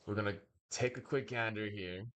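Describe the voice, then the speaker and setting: A young man, raised speaking Bulgarian first, giving a slow, wordy commentary full of pauses as he reacts to a video. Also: fairly low pitch, steady tone, fairly bright tone. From United States. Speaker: reaction streamer. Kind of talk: casual commentary.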